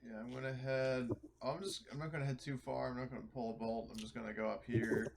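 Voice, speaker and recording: A man's voice: mid-range voice, fairly even intonation, fast, gravelly. A man talking casually as a player in a tabletop roleplaying game. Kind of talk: casual chat. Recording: loud background noise, some clicks.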